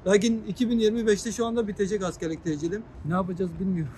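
Higher-voiced man chatting casually. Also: fairly even intonation, racing, a little mellow, a little hiss or hum. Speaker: friend of the vlogger.

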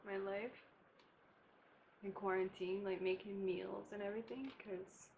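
Young woman from Barbados, chatty makeup vlogger, mid-pitched, faint hiss in a bedroom. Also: steady tone, a little slow, gravelly, darkish tone, lo-fi sound. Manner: chatty, casual, laughing asides while applying makeup. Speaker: beauty vlogger. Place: bedroom, indoors.

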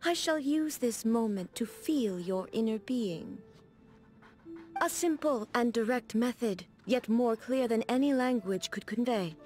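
Calm regal swordswoman for a video game, kinda high voice, very formal and measured, music behind. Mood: resolute. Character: serene, dignified female ruler, a warrior devoted to eternity. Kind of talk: scripted video game character dialogue.

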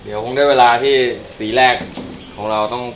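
A man's voice: medium pitch, steady tone, a little fast. A man in his thirties hosting a custom bike build, joking and bossing his partner around, then explaining each step plainly in a garage workshop. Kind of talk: casual workshop banter and build commentary. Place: indoor garage paint workshop. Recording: echoey garage room with background noise.

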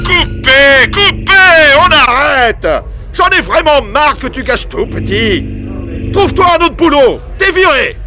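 A hammy, bossy movie director. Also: male voice, very high voice, lively intonation, takes it slowly, exaggerated voice. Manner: bossy, theatrical. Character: bossy hammy male film director, a bit of a diva. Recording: game audio with music bed.

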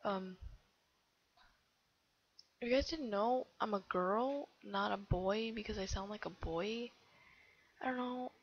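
Teen girl gamer, low husky voice, rambling commentary, muffled computer mic. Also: slow, pauses often. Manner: rambling, giggly, self-chattering with many fillers. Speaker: game video creator. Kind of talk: casual gameplay commentary.

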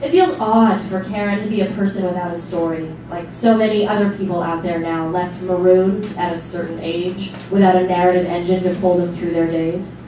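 A woman's voice: lowish voice, fairly even intonation, fairly quick, few pauses. A woman giving a scripted dramatic narration as a stage reading. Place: small stage before a seated audience. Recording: distant mic, very echoey hall, noisy.

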